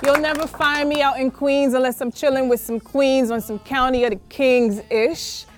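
A woman hosting a live studio interview podcast, playful and lively, fond of wordplay, speaking from a radio studio. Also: high-pitched, normal speed, faint music behind, some chatter behind. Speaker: podcast host.

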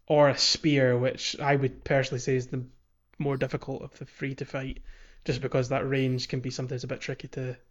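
A man's voice: medium pitch, flat delivery, a little fast, hardly any pauses. A man co-hosting a casual gaming podcast, explaining things agreeably on a slightly dull recording. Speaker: podcast co-host.

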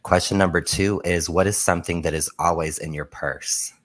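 A man's voice: deep voice, steady tone, normal speed, fairly bright tone. A man in his thirties hosting a podcast, asking guests icebreaker questions in a friendly, upbeat way. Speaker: podcast host. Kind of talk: casual podcast interview.

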